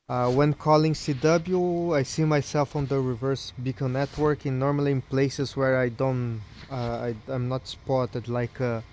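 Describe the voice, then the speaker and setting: A man, in Portuguese, makes a casual ham radio contact. His mid-pitched voice is courteous and complimentary. He is outdoors on a rooftop, with some noise in the recording. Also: darkish tone. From Brazil. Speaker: amateur radio operator.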